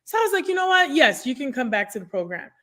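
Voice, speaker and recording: Woman, first language French, energetic storyteller, higher-pitched voice, video call from home, desk mic. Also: quick pace. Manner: energetic storytelling, expressive hands. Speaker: guest, nurse career coach. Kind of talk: conversational podcast interview. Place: home room, indoors. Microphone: desk microphone on video call.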